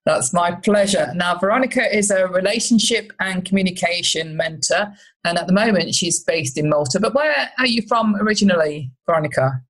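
Lowish-voiced woman hosting a podcast. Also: brisk, a bit bright, poor audio. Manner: friendly, chatty with restarts. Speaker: podcast host, relationship coach. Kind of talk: casual interview chat.

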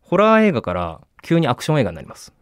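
A man's voice: fairly high pitch, wildly swooping pitch, fast, clean tone, no room sound, studio quality. A man co-hosting a casual radio film chat, explaining eagerly in a polite, deferential manner. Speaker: show writer and co-host presenting film picks.

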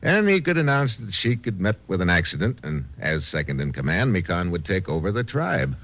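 An actor playing a tough, unflappable American adventurer in a 1950s radio drama, with a wisecracking, hardboiled delivery. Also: male voice, low voice, very animated, a little fast, fairly bright tone, exaggerated voice. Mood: relaxed, wry. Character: tough, wry, unflappable American adventurer hero narrating his exploits. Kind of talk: 1950s radio drama acting and first-person narration.

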